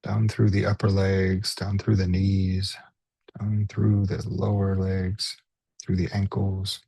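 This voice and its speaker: A man guides a meditation in a mellow, lowish voice that is very husky and full of breath. He speaks gently in short phrases with unhurried pauses between them. The sound is close and dry. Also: completely flat delivery, dry recording. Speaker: mindfulness meditation teacher. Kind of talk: guided body scan meditation.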